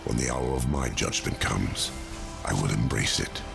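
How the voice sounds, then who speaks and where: A man playing an ancient, weary, remorseful angel of death in a video game, with a gravelly voice and slow, grave delivery. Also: music in the background, full character voice. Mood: resigned, solemn. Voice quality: gravelly, very deep. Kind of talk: dramatic video game cutscene acting. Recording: loud orchestral score behind voice.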